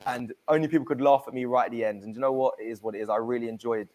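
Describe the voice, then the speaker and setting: A man's voice: mid-range voice, very fast. A young man, chatting casually and laughing easily as a regular guest of a football fan channel. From England. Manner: lively, slangy, laughs easily. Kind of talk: casual chat.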